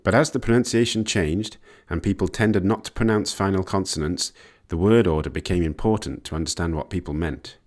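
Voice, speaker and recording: A man reading scripted educational narration in a measured, explanatory way. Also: deep voice, a little mellow. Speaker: narrator of an educational language history video.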